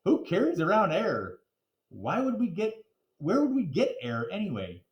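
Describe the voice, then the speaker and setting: A man's voice: sits high, huge pitch swings, moderate pace, highs cut off. A man reading a children's storybook aloud, with casual asides and simple explanations for young listeners. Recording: echoey, roomy sound.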